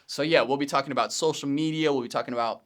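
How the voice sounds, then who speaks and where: A man in his thirties from Pennsylvania talks casually into the mic from his home studio, introducing his podcast. His voice is smooth and resonant, sitting at an ordinary middle pitch. He wanders from point to point, filling gaps with ums and correcting himself mid-sentence. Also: rapid-fire. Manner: relaxed, rambling, frequent ums and self-corrections, occasional laughs. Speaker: podcast host, video editor.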